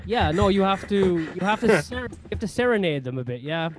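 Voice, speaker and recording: A man's voice: high voice, sing-song, brisk, a little hiss or hum. A man with a Cork Irish accent, a comedian telling stories in a casual interview, rambling with lots of fillers and casual swearing. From Ireland. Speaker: comedian guest.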